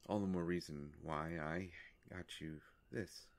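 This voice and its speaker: A man voicing an ancient male vampire in an intimate roleplay monologue, courtly and melancholy, with formal, halting, hushed phrasing. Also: deep voice, steady tone. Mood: grateful, hesitant.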